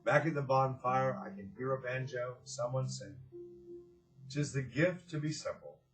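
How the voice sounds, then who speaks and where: A man reading aloud and hosting for children, with expressive, drawn-out phrasing and a gentle, encouraging way of addressing them. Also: mid-range voice, over music, rough recording. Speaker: children's story reader and host.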